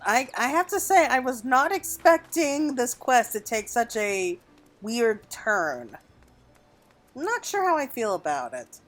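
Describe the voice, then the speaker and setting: A woman's voice: on the high side, sing-song, a little slow. Woman chatting over game music. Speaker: gaming video host. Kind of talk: casual game playthrough commentary, reading dialogue aloud. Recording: background music under the voice.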